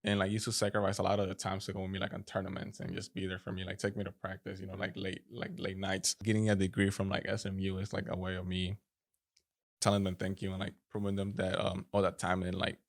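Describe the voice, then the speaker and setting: Fast-talking low-pitched young man. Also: completely flat delivery. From Honduras. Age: young adult. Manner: filler-heavy, informal phrasing with frequent "like" and "you know". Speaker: college soccer player, podcast guest. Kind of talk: casual interview answers.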